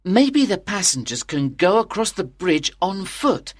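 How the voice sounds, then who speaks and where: Casting: cautious, worried traveller for audiobook drama. Slow, earnest, very expressive intonation. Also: female voice, medium pitch. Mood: worried, tentative. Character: anxious, cautious train passenger, pleading for safety. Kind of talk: dramatized graded-reader audiobook.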